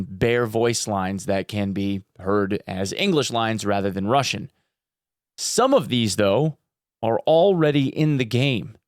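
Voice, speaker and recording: A man's voice: medium pitch, no room sound, studio quality. A man hosting a gaming news video in a chatty, informal way with playful emphasis. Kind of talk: casual news commentary with sponsor read.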